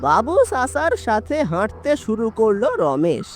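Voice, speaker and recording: A woman narrating a horror story in an expressive, theatrical way. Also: on the high side, a little slow, very noisy. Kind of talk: dramatic horror story narration with character voices.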